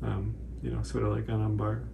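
A man's voice: on the low side, little pitch movement, fairly quick, gravelly, narrow, tinny audio. A man, chatting casually and modestly through a tutorial. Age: 20s. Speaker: cosplay channel host. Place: small room indoors on a couch. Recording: faint background noise.

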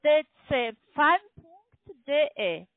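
A woman with a high voice and a foreign accent works as a call centre agent. She speaks slowly and haltingly, guiding a customer through steps. The sound is a dry, tinny phone line. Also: very animated, no echo. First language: not German. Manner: halting, non-native phrasing. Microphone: telephone.

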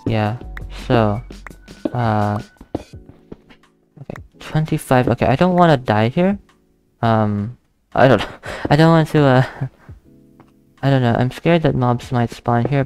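A young man plays a game at his desk and talks through it slowly, pausing often and counting out loud. His voice is mid-pitched with a gravelly, creaky texture. Soft background music plays faintly underneath. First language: not English. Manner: hesitant, thinking aloud, counting under his breath, nervous laughs, easily spooked. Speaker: gaming channel host. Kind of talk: casual let's play commentary.

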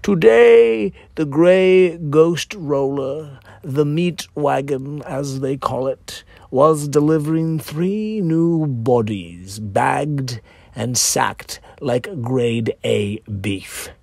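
A man narrates a macabre dark comedy with theatrical relish. His pace is slow and deliberate, with a gravelly creak in a fairly high-set voice. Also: no echo. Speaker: author reading own story.

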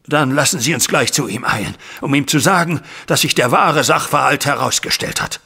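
An actor playing a distraught, wealthy older banker in a German audio drama, husky and agitated in his delivery. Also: medium pitch, brisk, sharp tone, full character voice. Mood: urgent. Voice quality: husky, raspy.

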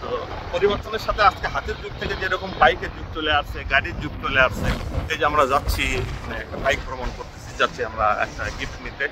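A man in his thirties speaks quickly into a phone camera outdoors, thinking aloud in a rambling way and restarting his sentences. His voice sits high for a man, and clear traffic noise runs under the whole recording. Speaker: vlogger. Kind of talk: casual reflective monologue. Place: outdoors on a village road. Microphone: phone selfie camera at arm's length.

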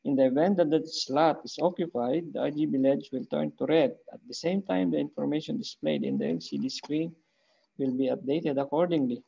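A man's voice: a little high, brisk, vocal fry, mellow, husky. A middle-aged man hosting his channel, methodically walking through a screen-shared project and checking in with "okay?" as he goes. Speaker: channel host demonstrating an electronics project. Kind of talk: screen-share technical walkthrough. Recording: dull, muffled lo-fi audio.

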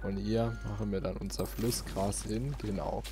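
A man's voice: on the low side, fairly even intonation, normal speed. A man giving casual, informal let's play commentary, often muttering to himself. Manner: informal, muttering self-talk. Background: game music.

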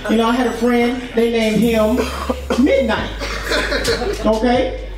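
A young man performing a stand-up comedy set on a club stage into a handheld mic, punchy and animated, shouting some lines. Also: extremely high pitch, takes it slowly. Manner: loud, animated, punchy delivery with shouted bits. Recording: echoey club heard from far off. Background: crowd laughing.

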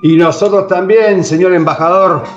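A servile, agreeable president, voiced by a man with a fairly high voice, always yielding to the ambassador. He sounds like he is in an echoey room on a dull, lo-fi line with faint music behind. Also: normal speed, natural voice. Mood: deferential. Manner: deferential, agreeable. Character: compliant male Argentine president, servile. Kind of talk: amateur radio drama acting over video call.

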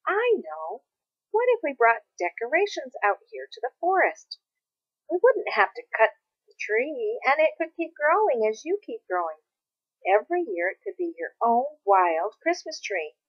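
A woman voicing a kindly father in a storybook, reasoning gently and enthusiastically with his young son. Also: sits high, very animated, a little slow, dry recording, lo-fi sound, pitched above the performer's own voice. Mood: warm, encouraging.

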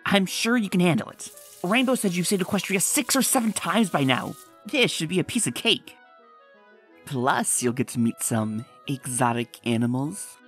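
A woman voicing a bold, confident female adventurer pony in a comic dub, talking briskly and commandingly. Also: lowish voice, sing-song, soft background music. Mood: eager.

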